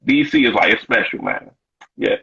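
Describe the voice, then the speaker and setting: A bright, husky-edged male voice tells loose, slangy stories full of "you know" and "man". He is a stand-up comedian from Washington, D.C., in his thirties, talking as a guest. The audio is dull and lo-fi. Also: mid-range voice, huge pitch swings, moderate pace. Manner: laid-back slangy storytelling with frequent "you know". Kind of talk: casual interview chat.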